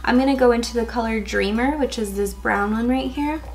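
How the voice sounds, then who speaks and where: A woman in her twenties talks through a makeup tutorial in a casual, filler-laden way. Her voice is raspy and a little hoarse from a cold, sitting fairly high with a gravelly fry. She speaks close to the camera in an indoor setting. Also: fairly quick, darkish tone, faint music behind. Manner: casual, chatty, many fillers like "um" and "like". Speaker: beauty vlogger. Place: indoors, in front of a gold sequin backdrop.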